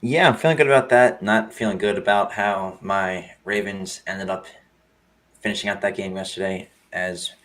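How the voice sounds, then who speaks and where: A hoarse man co-hosting a casual sports betting chat, easygoing, with a few slips and fillers. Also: sits low, fairly even intonation, moderate pace, a bit bright. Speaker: podcast co-host.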